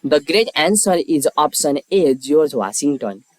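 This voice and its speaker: A man hosting a quiz, reading scripted questions and lettered options in a measured, formulaic way. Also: fairly high pitch, lively intonation, darkish tone, no room sound. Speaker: quiz host.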